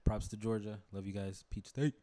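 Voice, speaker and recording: A man's voice: fairly low pitch, steady tone, normal speed, mellow. A young man co-hosting a podcast, chatting loosely with jokes, swearing and plenty of fillers. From United States. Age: young adult. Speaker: podcast co-host. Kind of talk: casual chat.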